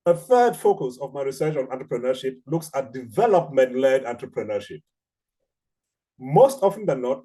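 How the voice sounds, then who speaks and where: Mellow Cameroonian man lecturing. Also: on the high side, poor audio. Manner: measured, deliberate, explanatory with rhetorical questions. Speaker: academic researcher, seminar speaker. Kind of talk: academic lecture.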